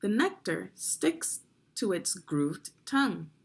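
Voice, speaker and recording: A woman's voice: medium pitch, very animated, slow, gravelly, breathy edge. A woman reading children's nonfiction aloud as a teacher, instructive and putting guiding questions to young listeners. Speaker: read-along narrator and reading teacher.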